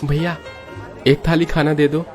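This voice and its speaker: Man acting a pleading poor village father, raised pitch. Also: flat delivery, measured pace, faint music behind, lightly acted. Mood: gentle. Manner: pleading, emotional. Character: poor young father Manohar, gentle, desperate, pleading. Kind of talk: melodramatic Hindi moral-story voice acting.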